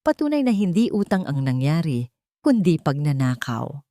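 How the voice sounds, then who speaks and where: A woman's voice: deep voice, sing-song, moderate pace, mellow, no echo, studio quality. A woman reading a scripted dramatic story as its narrator.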